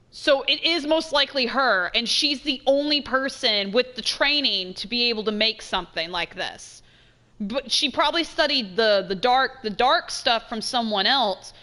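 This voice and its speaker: Streamer, woman: higher forceful voice, casual playthrough commentary, emphatic, repetitive thinking out loud. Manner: emphatic, repeats phrases, thinking aloud. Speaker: game streamer.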